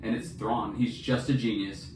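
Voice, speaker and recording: A man's voice: fairly low pitch, fairly even intonation, moderate pace, a little mellow. A man co-hosting a podcast, chatting casually about books in an enthusiastic, slangy, emphatic way. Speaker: podcast co-host. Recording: roomy echo, distant mic, faint noise.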